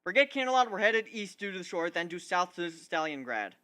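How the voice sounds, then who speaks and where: A man's voice: sits high, big pitch swings, a little fast, bright, forceful tone, smooth, dry recording, full character voice. A man voicing a cocky, scheming male griffin in a dramatic story reading, talking in a boastful, glib way. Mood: urgent. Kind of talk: dramatic reading of fan fiction.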